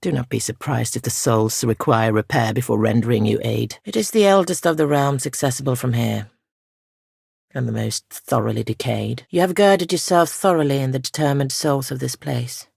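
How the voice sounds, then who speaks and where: Female spirit guardian, fantasy game, ancient, solemn, soft, very gravelly, breathy, formal, measured. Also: a bass voice, no echo, studio quality, full character voice. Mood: grave, foreboding. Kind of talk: scripted fantasy game dialogue.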